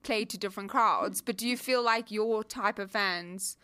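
A woman's voice: medium pitch, normal speed, bright, forceful tone, clear, resonant tone. A woman hosting a casual podcast interview, asking playful questions and laughing often. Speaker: podcast host.

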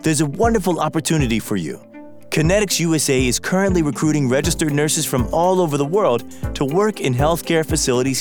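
Male ad announcer, lively pitch, music bed clearly under the voice. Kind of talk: read advertisement.

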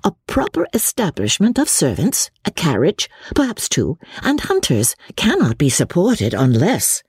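An ardent, idealistic young woman from a classic novel, as a woman reader puts her on. She speaks in emphatic, decided phrases with animated, swooping pitch and a slightly husky edge. The sound is close and dry. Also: measured pace, no room sound, heavily acted. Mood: earnest. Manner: emphatic, exclamatory.

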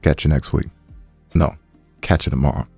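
A man's voice: rumbling low, steady tone, moderate pace, gravelly, darkish tone, breathy edge, soft background music. A man co-hosting a podcast in casual banter, informal and easygoing, rambling a little as he talks. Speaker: podcast co-host.

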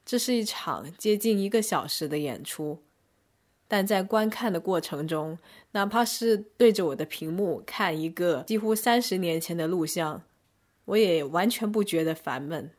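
A woman narrating a scripted first-person arts review in measured, descriptive short phrases. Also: lowish voice, animated, a little mellow. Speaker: podcast host and reviewer.

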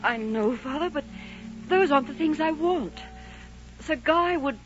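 A woman acting a romantic, headstrong young innkeeper's daughter in a 1940s radio drama, dreamy and breathy. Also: high voice, animated, unhurried, soft background music. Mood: dreamy, defiant. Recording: muffled, noisy old radio recording.

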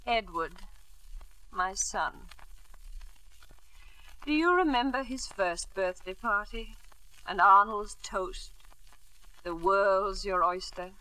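A woman's voice: mid-range voice, slow, long pauses, sharp tone, low-quality recording. An actress playing a bitter, grieving, tipsy older mother with theatrical, maudlin delivery in a 1950s radio drama. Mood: wistful, bitter.

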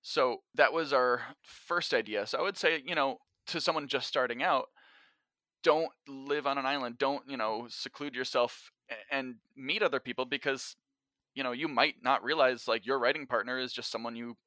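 A man's voice: medium pitch, normal speed, bright, forceful tone, dry recording. A man speaking as a podcast guest, giving advice in a casual, conversational way. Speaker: podcast guest, actor and writer-director.

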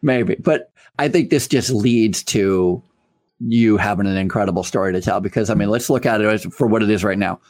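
A man's voice: on the low side, normal speed. Emphatic male podcast host. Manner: energetic, emphatic, repeats phrases. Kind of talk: conversational interview.

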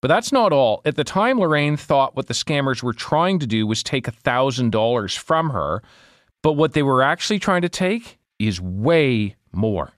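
A man's voice: mid-range voice, sing-song, normal speed, no room sound, studio quality. A man speaking as an investigative journalist, telling a story in an informal, conversational way with emphatic delivery.

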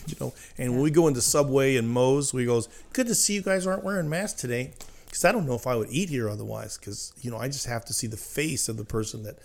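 Gravelly American man chatting casually. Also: mid-range voice, fast. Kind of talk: casual chat.

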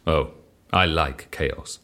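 A man's voice: extremely low pitch, takes it slowly, bright, forceful tone, slight background noise, heavily acted. An actor playing a mild, compliant man in an audio drama, speaking politely in a literal, matter-of-fact manner. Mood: calm, matter-of-fact. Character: mild, compliant man who obeys dice.

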